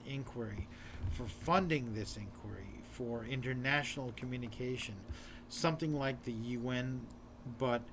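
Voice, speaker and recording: A hoarse man, an author speaking to his viewers in an earnest, repetitive, urging way. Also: mid-range voice, background noise. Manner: urgent, repetitive, exhorting the viewer. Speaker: author presenting his book in a video series. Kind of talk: earnest direct-to-camera talk.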